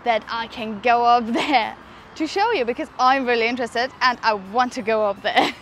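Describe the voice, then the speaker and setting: Higher-voiced young woman vlogging. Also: moderate pace, fairly bright tone, faint music behind. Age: 20s. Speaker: vlogger, presenter. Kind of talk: travel vlog presenting to camera. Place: outdoors in a churchyard. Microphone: clip-on lapel mic.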